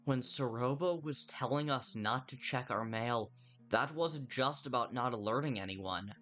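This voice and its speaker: A man's voice: mid-range voice, unhurried, fairly bright tone, soft background music. A young man livestreaming a video game, chatting and reacting to viewers' messages, a little tired, with a dry mouth. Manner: chatty, reads chat aloud, mock-exasperated. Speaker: streamer playing a video game. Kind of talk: casual livestream chat.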